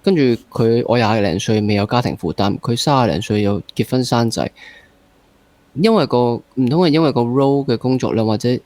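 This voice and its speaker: A man's voice: medium pitch, fairly quick, a little mellow, no room sound. A young man with a Hong Kong Cantonese accent hosting a conversational interview, mixing English words into his speech. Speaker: podcast host.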